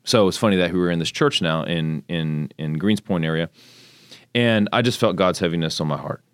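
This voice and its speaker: Texan man, very low voice, rambling candidate in a dry room. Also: brisk, clear, resonant tone, no room sound. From Texas, United States. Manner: chatty, rambling, faith-focused storytelling. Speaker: congressional candidate, guest. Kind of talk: casual interview talk.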